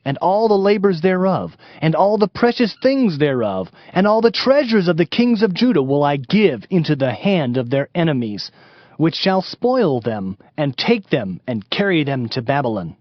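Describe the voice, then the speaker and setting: An actor playing a stern prophet who declaims warnings of doom in a dramatized scripture reading. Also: male voice, fairly high pitch, no echo. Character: stern male Hebrew prophet proclaiming doom. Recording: slightly muffled, lo-fi. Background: prominent music.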